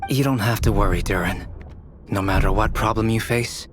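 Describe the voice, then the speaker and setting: A man playing a calm, thoughtful young man in a video game, composed and reassuring. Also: on the low side, moderate pace, noisy background, close to an everyday voice. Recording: loud game music behind.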